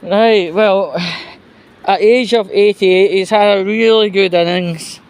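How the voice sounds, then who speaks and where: A man giving casual, opinionated news commentary in a rambling, halting, sweary way. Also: high voice, fairly even intonation, a little mellow, smooth. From United Kingdom. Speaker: host of his own commentary vlog.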